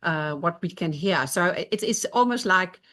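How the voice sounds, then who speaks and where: A woman's voice: on the low side, animated, measured pace, pushed and bright. A woman with a Dutch accent, co-owner of a safari camp, answering interview questions with many fillers and restarts. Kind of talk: podcast interview.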